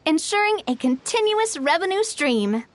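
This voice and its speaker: Self-assured young game heroine: high, very animated delivery, articulate and theatrical. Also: female voice, normal speed. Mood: businesslike, earnest. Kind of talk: video game character voice acting.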